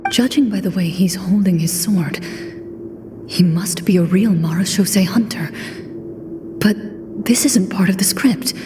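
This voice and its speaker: A woman's voice: mid-range voice, noisy background, natural voice. Composed, solemn woman acting as game master. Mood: quiet, wary. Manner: formal, measured narration.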